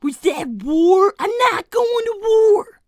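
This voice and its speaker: A woman's voice: very high voice, expressive, slow pace, dry recording, studio quality, heavily acted. A woman voicing a brainy, sensitive young boy fox in an improvised cartoon livestream, earnest, hesitant and easily exasperated. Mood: exasperated, protesting. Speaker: character performer on a livestream. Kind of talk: improvised in-character conversation.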